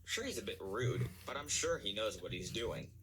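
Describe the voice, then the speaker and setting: A man voicing a kindly, gentle male engine who speaks softly and sympathetically. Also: fairly low pitch, very animated, moderate pace, noisy background. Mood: sympathetic. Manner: soft, sympathetic.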